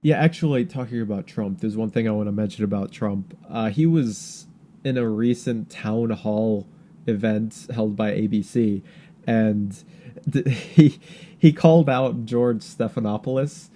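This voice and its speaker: A man co-hosting a podcast in casual political chat, halting and repeating words as he searches for his thoughts. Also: on the low side, mellow. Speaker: podcast co-host.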